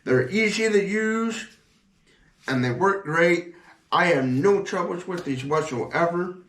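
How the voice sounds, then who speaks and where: A man's voice: sits high, animated, takes it slowly, fairly bright tone. A man giving a casual, unscripted product review in a rambling, repetitive, plain-spoken way. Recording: echoey room sound.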